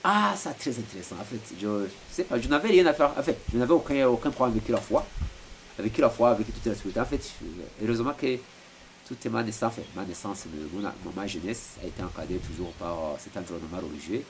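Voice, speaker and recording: Very deep-voiced hesitant man, 40s. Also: fast talker. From Rwanda. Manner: hesitant, many repeated words and fillers. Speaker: interviewee, philosopher. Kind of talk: reflective interview answers. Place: at home. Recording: noisy home recording.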